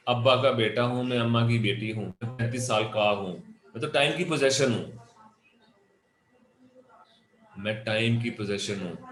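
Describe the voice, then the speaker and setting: A man's voice: medium pitch, fairly bright tone. Pakistani guy doing a motivational talk in an echoey room, repeats stuff, keeps asking questions. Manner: questioning, repeats phrases for emphasis. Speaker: motivational speaker and lecturer. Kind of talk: interactive motivational lecture mixing Urdu and English.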